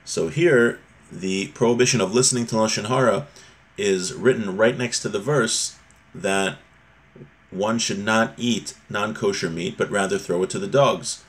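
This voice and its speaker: Low-voiced man teaching a religious text. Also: unhurried. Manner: explanatory, repeats and rephrases points. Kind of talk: informal religious text lesson, reading and explaining. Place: small home room, indoors.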